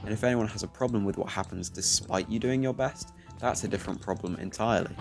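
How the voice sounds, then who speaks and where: A teenage man speaking calmly and reflectively, commenting over his gameplay. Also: lowish voice, fast talker, creaky. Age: teens. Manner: soft-spoken, reflective. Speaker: YouTuber. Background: faint music.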